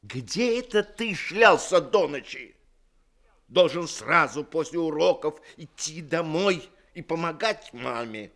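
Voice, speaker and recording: Stern jobless dad, harsh, scolding; radio drama. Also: male voice, a little high, lively intonation, takes it slowly, a bit bright, exaggerated voice. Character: stern, bitter father out of work. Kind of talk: 1960s Soviet radio drama acting.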